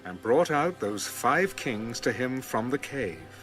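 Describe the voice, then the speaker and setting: A man's voice: mid-range voice, sing-song, takes it slowly, fairly bright tone, poor audio. A man narrating scripture in a dramatic, scripted audiobook style. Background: music.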